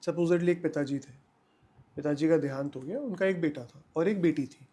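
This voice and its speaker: A man's voice: a little high, moderate pace, dark tone, breathy edge. A man giving explanatory answers as a legal expert on a podcast, emphatically repeating key points and mixing in English terms.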